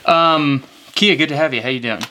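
A man's voice: a little high, moderate pace, bright, forceful tone, a little hiss or hum. A man hosting a podcast, interviewing an athlete in a casual, conversational style.

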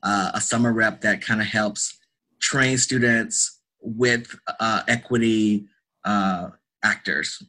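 A man's voice: fairly low pitch, fairly even intonation, slow, sharp tone. A man speaking as a theatre artist being interviewed, answering conversationally in an emphatic, earnest way and repeating phrases for stress. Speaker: guest, theatre director and artist.

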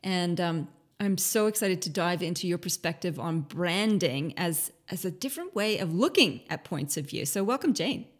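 A woman's voice: fairly low pitch, animated, moderate pace. A woman hosting a podcast, talking in a conversational, enthusiastic interview manner. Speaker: podcast host.

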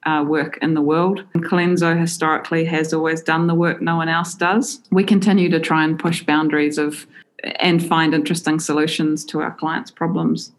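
A woman's voice: low-pitched, flat delivery, normal speed. A woman from New Zealand with a New Zealand accent answering interview questions casually and enthusiastically, with frequent "um" fillers. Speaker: interview guest, creative director.

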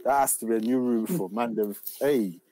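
A man's voice: mid-range voice, moderate pace, mellow. A man, born in Uganda, bantering playfully in a casual chat. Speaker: livestream co-host.

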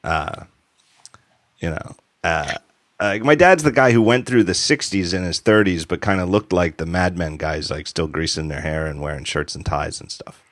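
A man's voice: low voice, moderate pace, a bit bright, no room sound. A man in his forties hosting a podcast, chatting casually and rambling with restarts. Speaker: podcast host. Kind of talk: casual chat.

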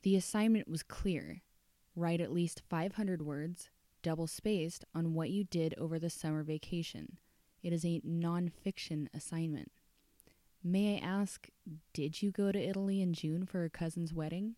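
A woman's voice: lowish voice, steady tone, unhurried, mellow, no room sound, natural voice. A woman reading a short story aloud as a stern, disapproving female schoolteacher, prim and formal.

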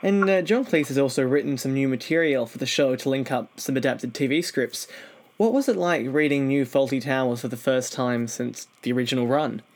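Male interviewer, mid-pitched voice, delivering prepared questions. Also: a little fast. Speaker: interview host.

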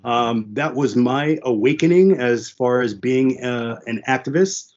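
A man's voice: mid-range voice, measured pace, darkish tone. Guy whose first language was creole and french, talking earnestly, lots of uh and you know, kinda muffled lo-fi audio. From United States (New York and New Jersey). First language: French and Haitian Creole. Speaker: panel guest. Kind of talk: candid personal storytelling.

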